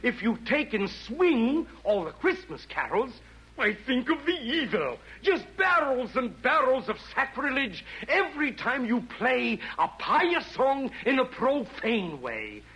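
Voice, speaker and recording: Casting: fiendish, vain aesthete emperor, high-pitched and animated, affected diction, 1940s radio comedy. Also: male voice, a little slow, husky, heavily acted, low-quality recording. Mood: smug, scheming. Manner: affected, florid rhyming delivery. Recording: old 1940s radio broadcast.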